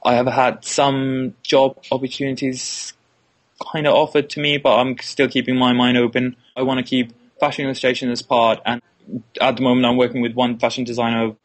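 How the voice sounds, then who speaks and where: A man's voice: mid-range voice, flat delivery, moderate pace. Hesitant Finnish-accented young man. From Finland. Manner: hesitant, repeats words, many "kinda like" fillers. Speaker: illustration student, interviewee. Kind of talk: interview answers.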